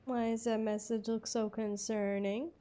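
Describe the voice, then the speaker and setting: A woman's voice: on the high side, little pitch movement, a little slow, mellow. A woman streamer casually commenting on a game and chatting back at its characters in a folksy way. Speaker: gaming streamer. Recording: game music faintly behind.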